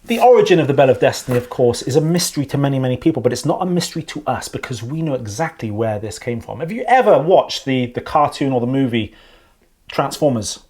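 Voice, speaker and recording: A woman's voice: extremely low pitch, lively intonation, quick pace, darkish tone. A woman co-hosting a homemade comedy show, telling tall tales with teasing mock grandeur. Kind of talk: playful comedy banter.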